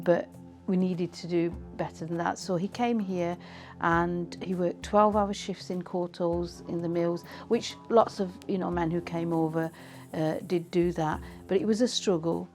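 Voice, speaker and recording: A woman's voice: lowish voice, a little mellow. A woman, reminiscing in a conversational, reflective way as she is interviewed. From England.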